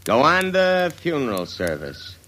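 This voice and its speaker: An actor playing a surly, sarcastic hearse driver in a 1950s radio drama, gruff and needling, with an American accent. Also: male voice, fairly high pitch, wildly swooping pitch, unhurried, pushed and bright, heavily acted. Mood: surly, suspicious. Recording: noisy vintage radio recording.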